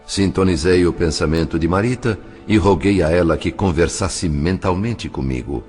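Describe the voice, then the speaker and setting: A male actor as a fatherly spirit narrator with a deep voice and Brazilian accent, solemn and compassionate. He tells an audio novel over faint music. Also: normal speed, a little mellow, close to an everyday voice. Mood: solemn, tender.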